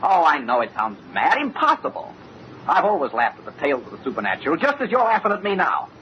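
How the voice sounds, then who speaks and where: A man's voice: fairly high pitch, wildly swooping pitch, fast talker, a little hiss or hum. Muffled old-radio impassioned young man. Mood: agitated, insistent. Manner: impassioned, insistent, dramatic. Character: earnest, agitated young fiancé haunted by a ghost. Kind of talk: old-time radio mystery acting.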